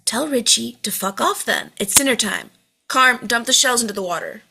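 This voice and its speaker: A woman's voice: on the high side, lively intonation, normal speed, vocal fry, pushed and bright, slight background noise, heavily acted. A woman playing a volatile, overwhelmed mother in her late forties, snapping and swearing in an amateur drama table read. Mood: bossy, irritated.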